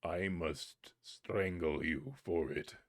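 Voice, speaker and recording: A man voicing a huge, terrible male spirit who speaks in a booming, menacing way. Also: slow, dry recording, studio quality, exaggerated voice.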